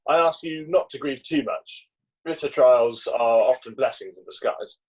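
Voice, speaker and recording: A man's voice: medium pitch, normal speed, a little mellow, breathy edge, natural voice. A man playing a kindly, pompous Victorian clergyman with solemn, formal delivery in an amateur play reading. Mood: solemn, obliging. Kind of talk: amateur play read-through. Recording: dull, lo-fi video call audio.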